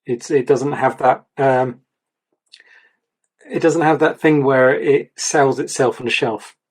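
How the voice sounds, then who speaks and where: A man's voice: mid-range voice, steady tone, slow, husky. Man giving rambling advice. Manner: rambling, repeats words, gives advice. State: voice going croaky. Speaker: portfolio reviewer. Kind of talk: casual mentoring chat.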